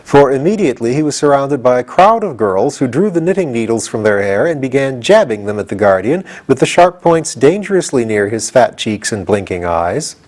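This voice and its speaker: A man's voice: mid-range voice, very animated, fairly quick, few pauses, darkish tone. A man reading a storybook aloud as scripted audiobook narration. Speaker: audiobook reader.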